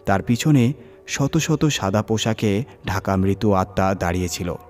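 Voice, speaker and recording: Horror narrator and host: male, low-pitched, dramatic scripted reading over music. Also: lively intonation, unhurried, a little mellow.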